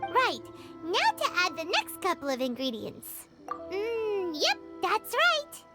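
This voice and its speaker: A tiny game fairy with an extremely high, squeaky child's voice. She is chirpy and bouncy, swooping up and down in pitch. Loud tinkling music plays behind her. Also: wildly swooping pitch, slow, full character voice. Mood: chirpy, helpful. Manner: chirpy, bouncy, refers to herself in third person. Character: tiny cheerful childlike floating fairy companion, female. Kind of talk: video game voice acting.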